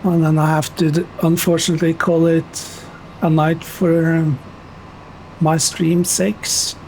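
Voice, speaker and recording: A young man with a Norwegian accent chats to his stream at an unhurried pace, full of "uh"s and "um"s. His voice sits a little higher than most men's. Faint noise hums behind him. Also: poor audio. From Norway. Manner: relaxed, chatty, many fillers, answers chat. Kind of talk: casual chat while gaming.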